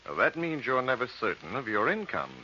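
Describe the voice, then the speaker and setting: An actor playing a condescending loan officer in a 1940s radio drama, deliberate and formal. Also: male voice, medium pitch, big pitch swings, bright, forceful tone. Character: cool, condescending loan officer turning down a borrower. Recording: dull, hissy old radio recording.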